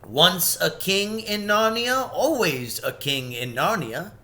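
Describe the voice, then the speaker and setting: A man performs an eccentric, kindly old storybook professor. He is high and swooping, emphatic and musing as he gives knowing advice. Background rumble runs under the voice. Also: animated, slow pace, pushed and bright, full character voice. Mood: knowing, advising. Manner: emphatic, musing, interrupting himself with questions. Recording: background noise with low rumble.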